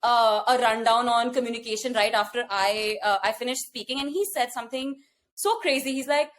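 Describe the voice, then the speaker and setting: A woman's voice: on the high side, a little fast, fairly bright tone. A young woman with an Indian accent, co-hosting a casual podcast and telling a story animatedly with frequent restarts. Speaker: podcast co-host.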